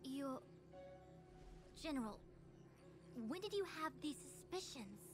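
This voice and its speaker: A woman playing a brisk, serious diviner and strategist in a video game, speaking formally and with command. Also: high-pitched, sing-song, exaggerated voice. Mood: suspicious. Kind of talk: scripted video game voice acting. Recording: background music under the voice.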